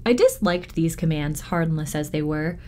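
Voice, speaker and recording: Low-voiced woman reading a children's story. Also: animated, moderate pace, warm tone. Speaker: library storytime reader. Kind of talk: expressive children's audiobook-style narration.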